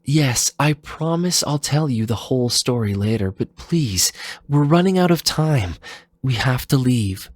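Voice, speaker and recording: Man voicing an earnest, pleading female android, pitched up. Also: animated, measured pace, a little mellow, no echo. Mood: urgent pleading. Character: earnest urgent female android scientist, pleading, softly intense. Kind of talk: dramatic fan fiction reading.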